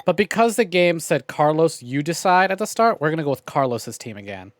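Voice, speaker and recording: Animated, higher-pitched man chatting on stream. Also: a little fast, faint music behind. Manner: conversational, addresses viewers, swears casually. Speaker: livestream host. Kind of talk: casual game stream chat and commentary.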